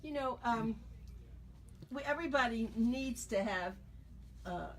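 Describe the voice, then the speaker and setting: A woman hosting a radio talk show, asking friendly questions in a chatty, easy way. Her voice sits at a middling pitch and sounds a little distant from the mic. There's a faint hiss behind her. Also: animated, measured pace. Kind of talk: radio interview.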